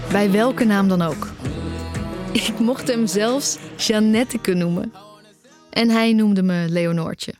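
A woman's voice: medium pitch, takes it slowly, close to an everyday voice. A young woman playing a wry, lovesick music student, confiding informally in an audio drama. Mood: wistful reflection. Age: young adult. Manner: confessional, informal, self-mocking. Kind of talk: audio drama narration and dialogue.

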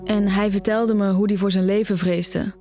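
An actress playing an anxious, questioning young student in an audio drama. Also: female voice, mid-range voice, little pitch movement, moderate pace, mellow, soft background music, natural voice. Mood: puzzled, probing. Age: young adult.

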